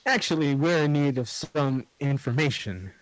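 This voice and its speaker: A man's voice: medium pitch, moderate pace, a little hiss or hum, natural voice, rough recording. A man reading the part of a rude, impatient male partner in a script table read. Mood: smooth. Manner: insulting, impatient, lively.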